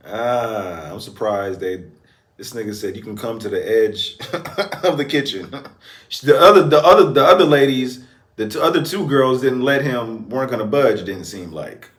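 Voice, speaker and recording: A man's voice: mid-range voice, lively intonation, a little slow, pauses often, fairly bright tone. A man giving casual, slangy reaction commentary in an amused, informal way. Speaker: reaction video host.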